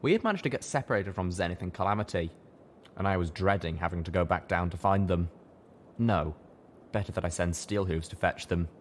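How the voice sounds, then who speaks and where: A man narrates a fantasy adventure in the first person, keeping a steady storyteller's pace. His voice is very low, with a faint hiss of noise in the recording. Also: a little fast. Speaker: audiobook narrator.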